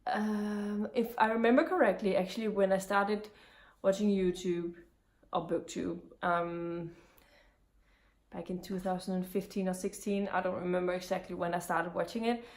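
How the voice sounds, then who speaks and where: A woman's voice: medium pitch, steady tone, moderate pace, darkish tone. A young woman from Denmark with a Danish accent, talking casually about books in English, with frequent ums and restarts. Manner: chatty, many ums and restarts. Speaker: book vlogger. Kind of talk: casual vlog chat.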